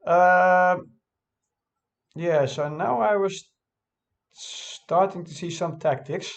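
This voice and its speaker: A man's voice: sits high, drawn-out words, vocal fry. A man who is not a native English speaker, casually thinking aloud as he reviews his own game, hesitant and often correcting himself.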